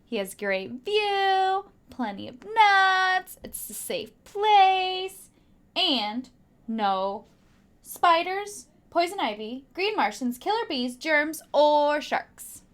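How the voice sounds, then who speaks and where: A woman teacher reading a children's picture book aloud with dramatic emphasis and hushed asides to young listeners. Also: extremely high pitch, sing-song, very slow.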